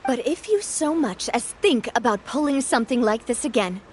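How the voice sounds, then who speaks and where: A woman's voice: fairly high pitch, animated, moderate pace, slight background noise, close to an everyday voice. A woman voicing a young woman who leads a group in a video game, firm, composed and sincere. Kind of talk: game voice acting. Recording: game audio with faint music behind.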